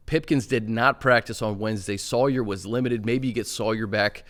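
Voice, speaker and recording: A man's voice: on the low side, fairly even intonation, normal speed, a bit bright. American man hosting casual sports talk. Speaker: sports show host. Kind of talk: casual football chat.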